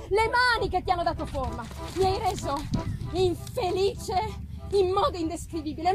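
A woman playing an anguished, horrified male creator who curses his creation, in a vehement, declamatory gothic reading. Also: very high-pitched. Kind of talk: dramatic reading of a gothic novel.

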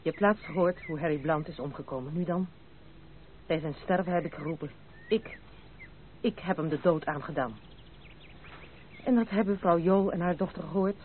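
Through a narrow, noisy old radio recording comes an actress playing a proud young woman in love. She speaks with earnest drama and halting repetitions, as if wrestling with her conscience, in classic radio play manner. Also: lowish voice, lightly acted. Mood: guilt-ridden, bitter. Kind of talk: Dutch radio play acting.

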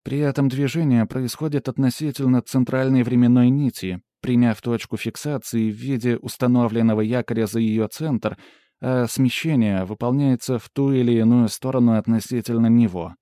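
A man's voice: mid-range voice, steady tone, normal speed, mellow, dry recording, studio quality, natural voice. A man voicing a female AI assistant in an audiobook, reporting formally and precisely in technical sentences. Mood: matter-of-fact. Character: Pandora, female AI assistant, precise and matter-of-fact. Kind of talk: audiobook character dialogue.

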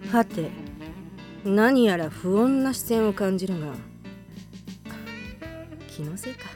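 A woman's voice: medium pitch, expressive, takes it slowly, music in the background. An actress playing a cool, stern adult woman teacher in an anime audio drama, composed and haughty. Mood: relaxed, composed. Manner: composed, haughty, formal clipped phrasing.

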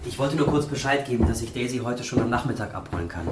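A man's voice: medium pitch, animated, fast talker, heavy background noise, natural voice. An actor playing an eager young policeman in a radio play, speaking in an earnest, confiding way. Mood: polite, friendly. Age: young adult.

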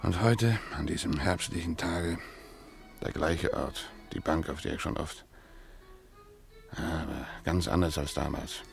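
An actor playing a ruined, brooding Russian gambler in a German radio drama, resigned and bitterly wry, musing in a halting, theatrical way. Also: male voice, a bass voice, gravelly, faint music behind, full character voice. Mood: bitter reminiscing.

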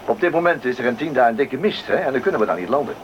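A man's voice: medium pitch, animated, rapid-fire, lo-fi sound, close to an everyday voice. A man playing a friendly, chatty airline pilot who explains things genially, in an old radio play with aircraft noise. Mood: genial, explaining.

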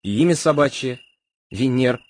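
An actor playing a fussy, crafty, wheedling gentleman in a comic radio play, theatrical and full of stammered repeats. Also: medium pitch, lively intonation, normal speed, dry recording, natural voice. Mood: wheedling, defensive.

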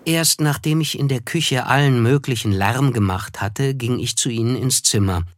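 An actor playing a reflective first-person narrator, reading literary prose in a measured, wry way for a German radio drama. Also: male voice, mid-range voice, close to an everyday voice. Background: music under the voice.

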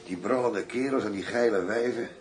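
A man's voice: lowish voice, normal speed, far from the mic, faint music behind, lightly acted. An actor playing a coarse, grumbling, hungover man working undercover as a gangster in a radio drama. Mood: weary, sickened. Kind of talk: Dutch radio drama acting.

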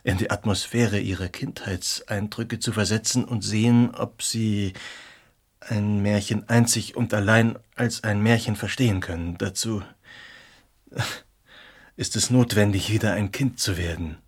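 An actor playing an ailing, haunted writer in a radio play, halting and hesitant in a husky, breathy voice. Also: male voice, fairly low pitch, fairly even intonation. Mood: gentle, halting. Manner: halting, hesitant, breaking into soft laughs. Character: ailing, haunted male writer, fearful yet fervent.

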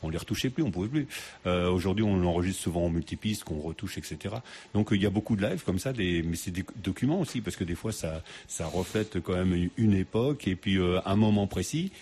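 A man hosting a radio music show, chatting in a relaxed, rambling way with many fillers and restarts. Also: low-pitched, brisk, a little hiss or hum. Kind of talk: casual radio chat.

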